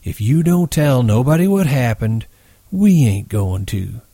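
Brief: man voicing a steady, measured ranch leader, his own mid pitch, dry. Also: slightly rough, no echo, heavily acted. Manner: measured, authoritative. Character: calm, respected older ranch boss, steady.

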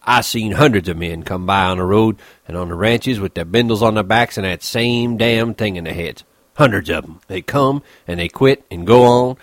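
A man's voice: lowish voice, no room sound, clean studio sound, lightly acted. A man voicing a lonely, bitter stable hand in an audiobook, taunting and scornful, brooding in colloquial rural ranch talk.

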